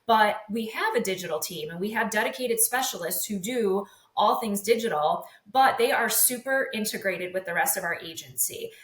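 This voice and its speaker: A woman's voice: medium pitch, expressive, moderate pace, hardly any pauses. Woman in a roomy, echoey space. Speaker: podcast guest, communications agency executive. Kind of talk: casual conversational interview. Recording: roomy echo.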